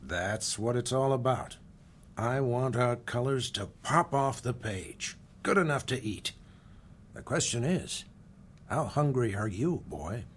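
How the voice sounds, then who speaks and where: A man's voice: mid-range voice, lively intonation, takes it slowly, background noise. An actor playing a brusque, busy, domineering male publisher in a radio drama, with curt, clipped phrasing.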